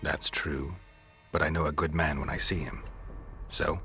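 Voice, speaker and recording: A man playing a stoic, wry mercenary monster hunter in a fantasy video game, terse and deadpan. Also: a bass voice, unhurried, heavily acted. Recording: music behind the voice.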